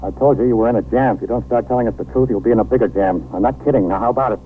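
An actor playing a stern, impatient American police lieutenant interrogating a witness in a 1950s radio drama. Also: male voice, medium pitch, very fast, close to an everyday voice. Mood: stern, threatening. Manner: clipped, blunt, intimidating. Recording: noisy, tinny 1950s radio recording.

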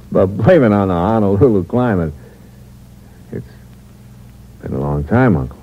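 An actor playing a cold, scheming impostor and killer in a 1940s radio mystery, terse and slangy in a hard-boiled way. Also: male voice, fairly low pitch, lively intonation, unhurried. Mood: uneasy, bluffing. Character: scheming cold male impostor and killer narrating his crime. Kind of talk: 1940s radio mystery acting, confessional narration. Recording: muffled old radio recording, background hiss.

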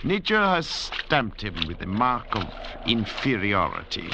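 An actor plays a haughty, calculating middle-aged doctor leading escaped convicts, speaking precisely and with command in old radio drama style. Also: male voice, lowish voice, big pitch swings, unhurried, creaky, exaggerated voice. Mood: cool disdain. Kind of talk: 1950s radio drama acting. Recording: dull, noisy vintage radio recording.

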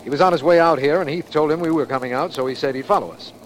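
An actor playing an earnest, level-headed district attorney in a 1940s radio detective drama, reasoning courteously but insistently. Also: male voice, on the high side, racing, close to an everyday voice. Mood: explanatory, cordial. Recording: noisy vintage radio recording.

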